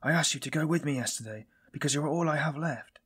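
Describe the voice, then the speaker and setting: Guy doing a weary tortured man confessing, raspy voice, bitter and halting, audiobook style. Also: on the high side, fairly bright tone, pitched above the performer's own voice. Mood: pleading. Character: tormented, weary, self-loathing man confessing. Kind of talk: dramatised audiobook dialogue.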